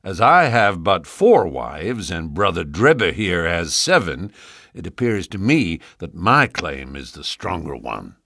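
A man reading an audiobook, voicing a boastful, sanctimonious young suitor in a slow, measured delivery. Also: sits low, big pitch swings, fairly bright tone, no room sound, clean studio sound, full character voice. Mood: smug.

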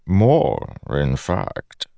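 A man voicing an ancient malevolent god in a fantasy audiobook, smiling, paternal and patronizing, with unhurried theatrical delivery. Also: low voice, very animated, vocal fry, darkish tone, no echo, pitched above the performer's own voice, heavily acted. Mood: paternal, inviting.

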